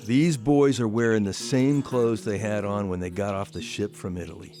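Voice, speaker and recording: Guy acting as suspicious 1910s newspaper man, american, lowish voice, radio play. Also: fairly even intonation, normal speed, mellow, soft background music, lightly acted. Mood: suspicious, reasoning. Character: shrewd, questioning male 1910s newspaperman. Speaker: actor in a radio drama.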